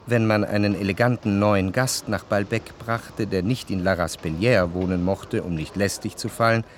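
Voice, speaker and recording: An actor playing a reflective first-person narrator, delivering measured literary prose for a radio drama. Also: male voice, lowish voice, fairly even intonation, slight background noise, lightly acted. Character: reflective male narrator recalling his memories, literary and measured.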